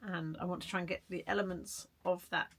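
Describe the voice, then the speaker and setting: A woman chatting casually in an art vlog, hoarse and croaky from a cold, rambling and self-deprecating. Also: lowish voice, steady tone, brisk, a bit bright. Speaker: vlogger. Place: garden shed studio.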